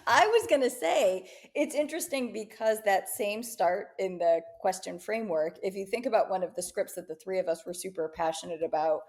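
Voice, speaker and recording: A woman's voice: mid-range voice, expressive, fairly quick, few pauses, darkish tone. A woman co-hosting a business podcast, talking in an upbeat casual way and often checking in with "right?". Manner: upbeat, tags many points with "right?". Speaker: podcast co-host.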